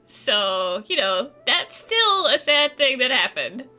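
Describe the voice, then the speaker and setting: Bright, high-pitched woman gamer. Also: big pitch swings, takes it slowly. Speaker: video game player. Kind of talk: casual game playthrough commentary. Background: faint game music.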